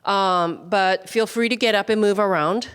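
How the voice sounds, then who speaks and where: A woman's voice: mid-range voice, normal speed, a bit bright, clean tone. A woman casually leading a group icebreaker in a large hall, chatty with many fillers. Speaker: workshop facilitator. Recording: hall reverb from a room mic.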